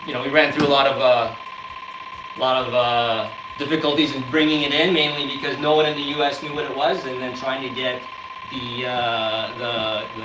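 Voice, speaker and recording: A man presents a product casually on a live stream. He speaks haltingly and informally, repeating words as he goes, in a medium-pitched voice. The sound is roomy, with obvious noise behind him. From born in the Philippines, grew up in the US. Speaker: guest business founder demonstrating a product.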